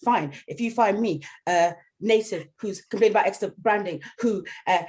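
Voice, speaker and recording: A woman on a panel who is a member of a campaign, recounting events in an animated way. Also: mid-range voice, normal speed. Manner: animated storytelling with laughs. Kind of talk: casual panel discussion.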